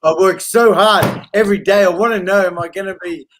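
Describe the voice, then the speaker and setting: A man's voice: high voice, fairly even intonation, fairly quick, noisy background. A man hosting a live online launch, introducing guests in a casual, upbeat way with plenty of fillers over a video call. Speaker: host. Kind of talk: live stream chat.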